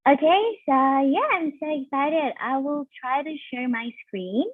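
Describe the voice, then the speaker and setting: A young woman with an Indonesian accent presents casually over a video call, pausing on ums and restarting her sentences. Her voice is dry and squeezed into a thin, phone-like band. She drifts between English and Indonesian as she goes. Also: sits high, no echo. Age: 20s. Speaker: webinar speaker. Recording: tinny phone-like call audio.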